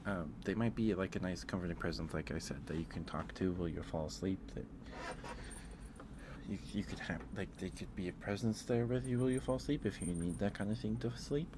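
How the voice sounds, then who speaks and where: A man's voice: deep voice, brisk, noisy background. A man streaming casual ASMR chat, thinking aloud in a hesitant, informal way.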